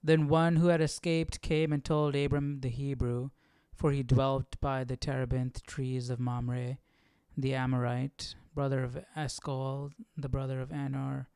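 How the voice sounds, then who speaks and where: A man hosting a Bible study, reading aloud casually and haltingly, thinking aloud in asides. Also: medium pitch, flat delivery, a little mellow, clean tone, no room sound.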